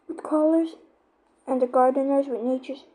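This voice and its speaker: High-pitched, halting young-sounding female explainer. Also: steady tone, mellow. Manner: halting, searching for words. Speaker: YouTuber explaining horror lore. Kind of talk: casual, informal explainer.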